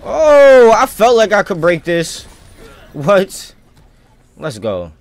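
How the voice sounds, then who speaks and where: A man's voice: high voice, wildly swooping pitch, slow, dry recording. A man giving casual live gameplay commentary as a streamer, thinking aloud in informal, slangy talk. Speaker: video game streamer.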